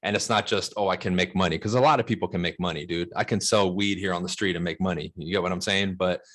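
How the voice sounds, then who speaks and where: American dude mid 30s, low voice, chatting in an interview, lots of slang and emphasis. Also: male voice, fairly even intonation, fast, a bit bright. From Los Angeles, United States. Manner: slangy, emphatic, often checks with "right?" and "bro". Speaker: interview guest, real estate broker. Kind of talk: casual interview talk.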